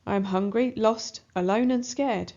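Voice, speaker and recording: A woman's voice: on the high side, a little slow, dark tone, highs cut off. A woman voicing a young, hungry, scared girl rat in a plaintive storybook voice. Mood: pleading, scared. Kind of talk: children's storybook character voice.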